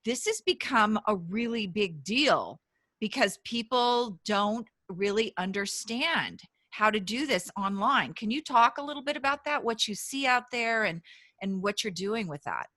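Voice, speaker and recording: A woman hosts a chatty podcast interview, her voice bright and forceful. She laughs often and trips over her own sentences as she goes. The sound is dry and close, with no room in it. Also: medium pitch, expressive, a little slow, dry recording. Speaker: podcast host.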